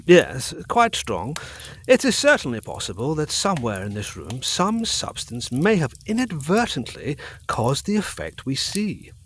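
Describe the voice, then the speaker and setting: Earnest, swooping Edwardian doctor. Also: male voice, mid-range voice, wildly swooping pitch, a little hiss or hum. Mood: grave, assured. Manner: formal, emphatic, deliberate diction. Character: earnest Edwardian physician, frank and authoritative. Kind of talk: gothic audio drama acting.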